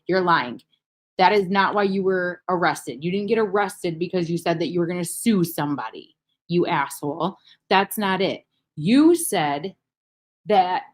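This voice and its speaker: A woman reacts off the cuff as host, with a mid-pitched voice. She is blunt and openly mocking, swears freely, and repeats words for emphasis. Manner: emphatic repetition. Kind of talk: unscripted reaction commentary.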